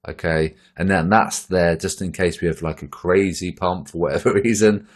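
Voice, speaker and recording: A man with a very low, deep voice, sounding tired as he talks through charts. His delivery is relaxed and rambling, full of fillers and restarts, like casual commentary over a screen share. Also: normal speed. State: tired after a long bike ride. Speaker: trading channel host.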